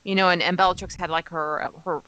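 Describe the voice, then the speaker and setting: A woman's voice: fairly low pitch, steady tone, quick pace, bright, forceful tone, dry recording, low-quality recording. A woman casually presenting fan news on a podcast in a gushing, enthusiastic manner.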